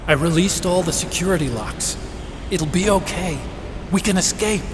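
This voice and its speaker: A man's voice: sits high, moderate pace, background noise, natural voice. An actor playing an earnest, sensitive, idealistic young male scientist in a video game, with a heartfelt delivery. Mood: reassuring. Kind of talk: dramatic video game voice acting. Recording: loud music behind.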